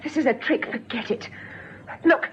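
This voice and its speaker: A woman's voice: high-pitched, normal speed, husky. An actress playing a desperate, defiant young mother in a vintage radio drama, bitter and suspicious. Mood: suspicious, snappish. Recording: dull vintage radio recording, faint noise.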